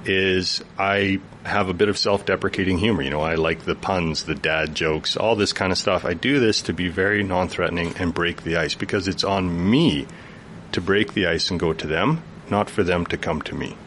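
A man, a youth worker, explaining earnestly as a guest in a radio studio interview, with a deep voice. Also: normal speed, fairly bright tone, a little hiss or hum.

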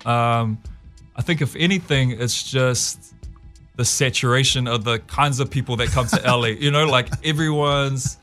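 A man's voice: medium pitch, normal speed, fairly bright tone, faint music behind. A man in his thirties with a New Zealand accent, speaking as a guest on a radio show in an easygoing, chuckling way with many fillers and restarts. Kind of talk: casual radio interview. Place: radio studio.

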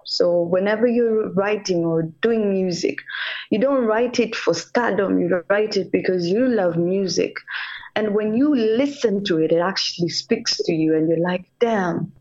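A woman's voice: mid-range voice, a little mellow, lo-fi sound. A woman from Kenya, a reggae singer, talking earnestly about her music in a casual radio interview, in short repeated phrases. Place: radio call-in.

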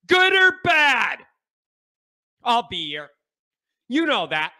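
Congested male show host, high voice, unhurried, emphatic repeats. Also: huge pitch swings. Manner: emphatic, repeats points with bursts of shouting. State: stuffed-up nasal congestion. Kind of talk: sports talk monologue.

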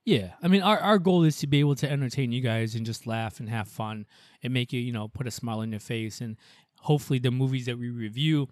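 A man's voice: medium pitch, fast talker, darkish tone, no room sound, studio quality. A young man co-hosting a podcast in a casual, rambling way, switching between English and Tagalog. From United States. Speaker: podcast co-host.

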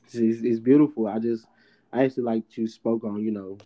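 A man of twenty co-hosting a podcast, playful and jokey, often jumping in with quick reactions. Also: mid-range voice, steady tone, warm tone, dull audio. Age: 20s. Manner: playful, jokey, chimes in a lot. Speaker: podcast co-host. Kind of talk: casual chat.

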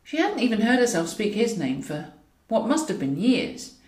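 Roomy, expressive woman narrating a novel. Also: medium pitch, moderate pace. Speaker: author reading own novel. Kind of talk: scripted narration of a comic novel. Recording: roomy echo, distant from mic.

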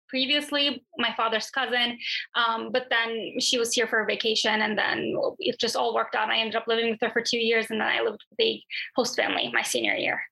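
A woman's voice: fairly high pitch, creaky, bright, forceful tone, low-quality recording. A young woman from Turkey in her twenties, a journalist answering interview questions in a chatty, thoughtful way. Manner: chatty and thoughtful, with frequent fillers and restarts. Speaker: guest, journalist.

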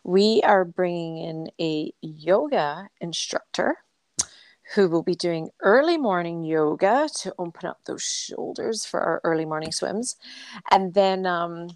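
Low-voiced Scottish woman co-hosting. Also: measured pace, a little mellow, no room sound. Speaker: endurance coach and podcast co-host. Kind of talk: casual conversational podcast talk.

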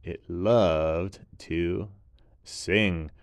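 A man narrating a children's bedtime story in a gentle, drawn-out way with repeated phrases. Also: low-pitched, fairly even intonation, dry recording.

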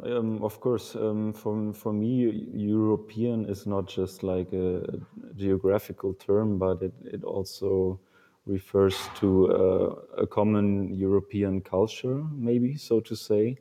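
A man's voice: lowish voice, level tone, slow, dark tone. A young man from Germany talking in a casual panel discussion, hesitant, with many fillers and restarts. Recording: long reverb.

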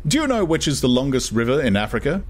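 A man's voice: mid-range voice, sing-song, fast talker, voice pushed up. A man voicing an insistent adult who coaxes and drills a small boy in an audiobook. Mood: coaxing, insistent. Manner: coaxing, insistent. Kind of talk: dramatized audiobook character.

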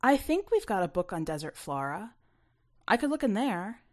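A woman voicing a bold, bossy teenage girl with a brisk, teasing manner in a story. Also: mid-range voice, big pitch swings, voice pushed up, lightly acted.

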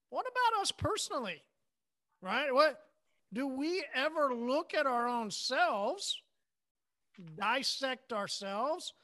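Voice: sits high, pushed and bright. A podcast host in casual chat, asking probing questions with lots of fillers like "you know" and "right".

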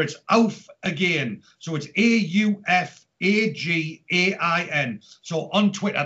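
A man's voice: a little high, a bit bright. A middle-aged man from Newcastle with a Geordie accent, hosting a chatty podcast in an upbeat way over an internet call. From Newcastle, north-east England. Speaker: podcast host. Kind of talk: chatty podcast introduction and announcements. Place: remote Skype call from home during lockdown. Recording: dull lo-fi internet call audio.

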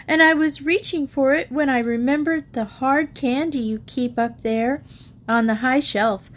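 A woman voicing Sharon, a clumsy, heedless little girl who keeps apologizing in a high, eager voice.

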